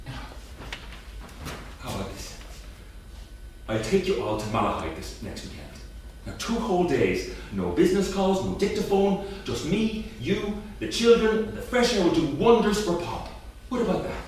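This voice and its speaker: An actor plays a coaxing businessman husband, keen to keep everything smooth. His delivery swings up and down in pitch. The staged reading is very echoey and distant from the mic. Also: very animated, normal speed, darkish tone. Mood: placating, upbeat. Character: placating, upbeat businessman husband eager to smooth things over. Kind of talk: staged script reading in a theatre. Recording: distant, slightly noisy, echoey theatre recording.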